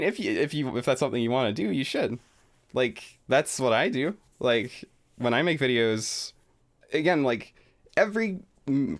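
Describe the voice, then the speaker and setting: Rambling young male streamer. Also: mid-range voice. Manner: rambling, filler-heavy, self-deprecating chat. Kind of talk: live reaction commentary.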